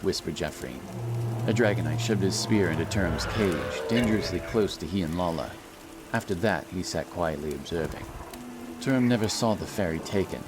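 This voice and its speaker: A man narrating a fantasy audiobook in a solemn, measured storytelling style. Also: sits low, animated, background noise. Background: music and rain sound effects.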